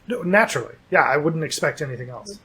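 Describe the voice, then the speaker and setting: A man's voice: a little high, lively intonation, fast, fairly bright tone, husky. A man co-hosting a comedy news show, delivering jokes dryly and teasing his co-host. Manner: dry joking delivery, playful teasing. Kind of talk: scripted comedy news banter.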